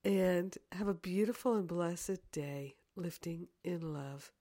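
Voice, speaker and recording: A woman with a croaky, hoarse, congested voice, speaking as a spiritual teacher in deliberate, affirming phrases. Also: lowish voice, dark tone, a little hiss or hum. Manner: deliberate, repetitive affirming phrases with long pauses. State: hoarse from sinus trouble. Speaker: spiritual teacher leading a prayer. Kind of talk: devotional guided prayer, then casual announcements.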